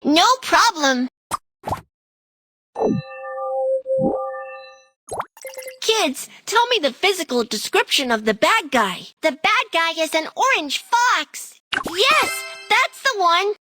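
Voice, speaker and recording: Casting: small eager cartoon animal helper, very high childlike voice, animated delivery, simple exclamatory lines, music underneath. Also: female voice, measured pace, exaggerated voice. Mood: eager, urgent. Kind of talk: scripted children's cartoon dialogue.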